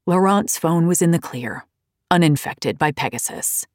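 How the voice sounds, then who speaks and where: A woman reading memoir narration in a measured, deliberate way. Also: fairly low pitch, lively intonation, darkish tone, no room sound, clean studio sound.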